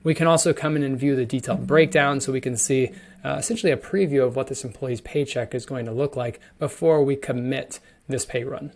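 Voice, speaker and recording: A man giving a conversational, explanatory walkthrough of software, as a product demonstrator would, stressing key words as he goes. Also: medium pitch, steady tone, brisk. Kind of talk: screen-share software demo, explanatory walkthrough.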